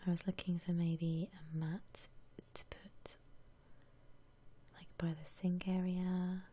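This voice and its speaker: A woman whispering softly and breathily in a gentle, musing way as she gives ASMR commentary on a game. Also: lowish voice, level tone, slightly rough. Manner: gentle, unhurried, musing aloud. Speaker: ASMR streamer playing a house-decorating game.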